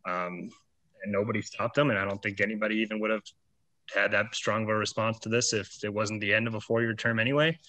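A man's voice: on the low side, steady tone, fast, sharp tone, no echo, rough recording. A man speaking earnestly at a press conference and building emphasis as he goes. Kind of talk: spoken commentary at a press conference.

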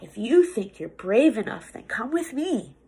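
A woman's voice: a little high, slight background noise. A young woman reading a picture book aloud to children like a teacher, theatrical and playful, drawing words out for effect. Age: young adult. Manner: theatrical, expressive, playful, with drawn-out words and hushed asides. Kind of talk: animated storybook read-aloud for children. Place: small office room indoors.

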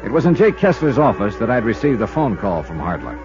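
A self-assured American investigator from 1950s radio drama. His delivery is wry and very animated in pitch. The sound is an old, muffled broadcast with music clearly behind it. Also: a little fast. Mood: brisk, businesslike. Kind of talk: 1950s radio detective drama narration and dialogue. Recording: dull 1950s radio recording, loud music.

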